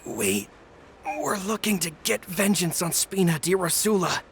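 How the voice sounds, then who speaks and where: A man's voice: high-pitched, very animated, a little slow, a bit bright, slight background noise, full character voice. A man voicing a bitter male thug leader seeking revenge, defiant and resentful, in a video game dub. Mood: sullen.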